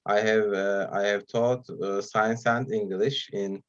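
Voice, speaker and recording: A man whose first language is Turkish gives an online talk as guest speaker, pausing often with fillers between phrases. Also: fairly low pitch, steady tone, fairly bright tone, dull audio. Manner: hesitant, many pauses and fillers.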